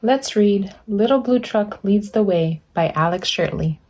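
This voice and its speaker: A woman reading a picture book aloud as a volunteer storyteller, animated and putting deliberate emphasis on sound words. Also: mid-range voice, a little hiss or hum. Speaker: volunteer children's book reader.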